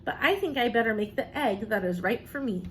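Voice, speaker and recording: A woman voicing an earnest, kind young male rabbit from a picture book, with a sincere and gentle delivery. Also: on the high side, expressive, a little fast, slight background noise. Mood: polite, resigned.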